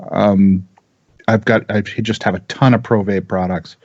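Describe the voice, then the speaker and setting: A man's voice: fairly low pitch, steady tone, unhurried, darkish tone, dull audio. An American man talking casually and emphatically on a panel, repeating phrases as he makes his points. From United States. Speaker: vaping talk show panelist. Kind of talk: opinionated casual panel discussion.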